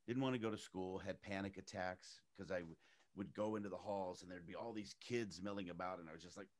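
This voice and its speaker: Man, middle-aged, very low voice, animated storyteller, jumps up in pitch for quoted voices, casual interview. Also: fairly quick. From United States, raised in Arizona. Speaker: actor, interview guest.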